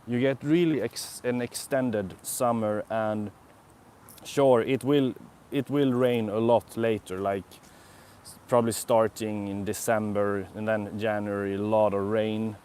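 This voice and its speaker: A man's voice: fairly low pitch, fairly even intonation, measured pace, darkish tone, smooth. A young Swedish man with a Swedish accent, chatting casually on a live stream in a city park, musing and answering viewers' comments. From Sweden. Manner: rambling, reflective, answers chat comments. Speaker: vlogger.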